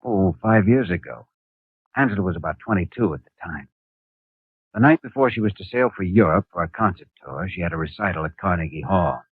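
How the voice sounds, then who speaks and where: An earnest young doctor in a 1950 radio drama, with a very low, slightly husky voice. He explains cases in a measured, clinical way. The sound is tinny and dry, like an old broadcast. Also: lively intonation, no echo. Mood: explaining. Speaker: hospital doctor. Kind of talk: 1950s radio drama acting.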